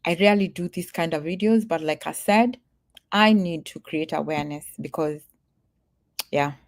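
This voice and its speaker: A woman's voice: low voice, normal speed. A woman from Kenya talking casually to her livestream viewers, earnest and emphatic, repeating her warnings and laughing easily. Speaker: vlogger.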